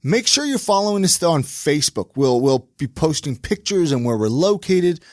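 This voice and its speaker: A man's voice: a little high, sing-song, normal speed, a little mellow, dry recording, studio quality. A man from California hosting a podcast, chatting casually with a guest and rambling through restarts. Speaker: podcast host. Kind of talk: casual interview chat.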